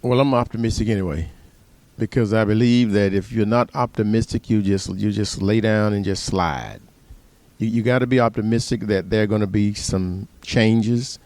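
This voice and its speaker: A plain-spoken man with a fairly low voice speaks as a pastor on a TV panel. He repeats words as he goes. The sound is dry and close. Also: normal speed, a little mellow, no room sound. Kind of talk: TV panel discussion.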